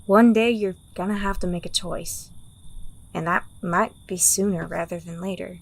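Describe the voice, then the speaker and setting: A woman's voice: lowish voice, fairly even intonation, normal speed, vocal fry, low-quality recording. Woman playing a jaded fantasy underworld woman, blunt n wry, teases a lot, audio drama style. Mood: frank, knowing. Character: world-weary, teasing, pragmatic woman working for a crime boss.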